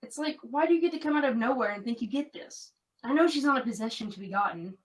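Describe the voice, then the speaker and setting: A woman's voice: fairly high pitch, expressive, fast talker, distant mic, lightly acted, poor audio. A woman playing an earnest, conflicted young American woman in an audio drama, confiding in natural dialogue. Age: young adult.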